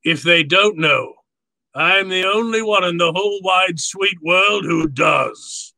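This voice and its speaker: Courtly fat crime boss, pompous, florid, bright forceful voice, dry sound, radio drama. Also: male voice, sits high, slow, gravelly, no echo, exaggerated voice. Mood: gleeful. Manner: florid, pompous, relishing his words. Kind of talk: old-time radio drama acting.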